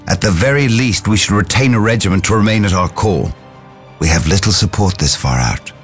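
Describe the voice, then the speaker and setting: An actor playing a stern, brooding space marine librarian in a video game cutscene, formal and deliberate in his speech. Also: fairly low pitch, animated, lightly acted. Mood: impatient, stern. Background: background music.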